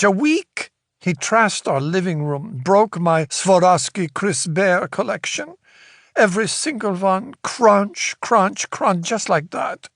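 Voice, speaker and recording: A woman's voice: fairly low pitch, no echo, clean studio sound, pitched above the performer's own voice. A man voicing a bitter, vindictive woman with a Ukrainian accent, whiny and self-pitying.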